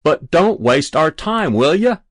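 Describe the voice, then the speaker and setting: Man doing a winded, yelling fireman. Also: on the high side, big pitch swings, a little slow, dry recording, clean studio sound, pitched above the performer's own voice, full character voice. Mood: exasperated. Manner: gruff yelling. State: out of breath.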